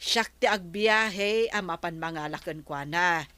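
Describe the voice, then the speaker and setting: A woman's voice: lowish voice, slow, sharp tone, clean tone, no echo. A woman playing a dutiful, patient wife and niece in an audio drama, speaking placatingly with an Ilocano accent. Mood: persuading. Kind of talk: regional audio drama acting.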